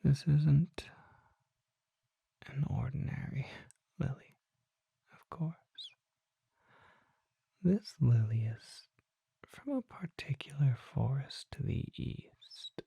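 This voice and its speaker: An ASMR performer voicing a mysterious, suave vampire apothecary merchant in a breathy whisper, with measured, formal and coy phrasing. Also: medium pitch, expressive, warm tone, no echo, exaggerated voice. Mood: hushed, intrigued. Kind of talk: ASMR roleplay, soft whispering.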